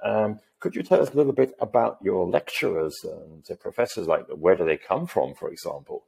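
Low, gravelly, husky-voiced male host. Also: animated, a little mellow. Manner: polite, measured questioning. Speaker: podcast host. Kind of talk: podcast interview questions.

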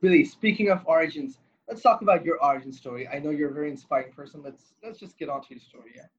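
A man's voice: a little high, quick pace. A male podcast host who keeps enthusiastically repeating praise while he leads the interview. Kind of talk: casual interview hosting. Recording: lo-fi recording with faint noise.

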